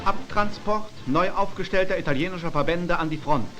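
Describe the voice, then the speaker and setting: A man's voice: high voice, a little fast. A man narrating a scripted 1940s wartime propaganda newsreel in a formal, declamatory announcer style. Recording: 1940s newsreel soundtrack, loud music. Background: loud music.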